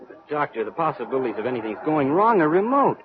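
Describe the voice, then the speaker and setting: A man's voice: on the high side, very animated, quick pace, over music. An actor playing an idealistic young male crewman in a radio drama, polite, earnest and enthusiastic. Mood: elated, earnest. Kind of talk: old radio drama acting. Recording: noisy, thin phone-like old radio recording.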